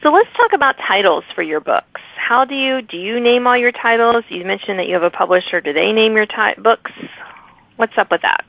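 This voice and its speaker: A woman's voice: medium pitch, animated, brisk, creaky, no echo. A woman hosting a casual interview, talking informally and thinking aloud in half-finished phrases. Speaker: podcast host and fiction author. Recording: dull, lo-fi close audio.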